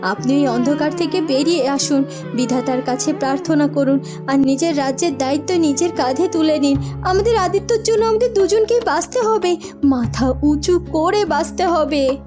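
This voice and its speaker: A woman's voice: high voice, normal speed, music in the background, lightly acted. A woman playing a resolute, impassioned princess and grieving mother in a cartoon. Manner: firm, impassioned, exhorting.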